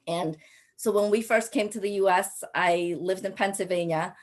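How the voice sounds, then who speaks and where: A woman in her forties from Cambodia, telling her personal story as a panelist in a halting way, often pausing and repeating phrases. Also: medium pitch. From Cambodia, raised in a Thai refugee camp and the US. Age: 40s. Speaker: panelist, local elected official.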